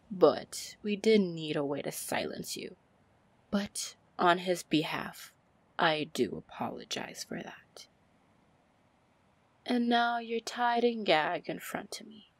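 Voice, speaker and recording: A woman playing a seductive, commanding female pirate lord in an ASMR fantasy roleplay, with a teasing manner and breathy near-whispers. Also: on the low side, dry recording, exaggerated voice. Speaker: roleplay audio performer.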